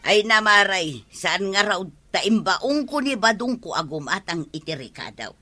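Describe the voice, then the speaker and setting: A woman's voice: mid-range voice, lively intonation, unhurried, pushed and bright, soft background music. A woman with an Ilocano accent playing an exasperated village wife in a comedy drama. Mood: complaining. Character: exasperated village wife complaining about her husband.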